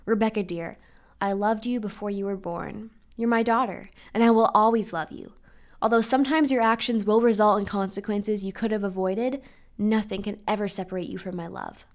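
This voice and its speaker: A woman plays a loving storybook father. The delivery is gentle and patient, full of reassurance and forgiveness. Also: medium pitch, steady tone, normal speed, natural voice. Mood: loving, reassuring. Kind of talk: storybook character reading.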